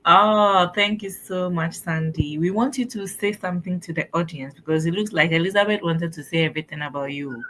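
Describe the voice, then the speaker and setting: A woman with a slightly low-pitched voice co-hosts a livestream. She talks in a casual, chatty way and has a teasing manner. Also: a little fast, hardly any pauses, a bit bright, a little hiss or hum, poor audio. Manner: chatty, teasing, often laughing. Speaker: co-host of a livestream. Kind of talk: casual live chat hosting.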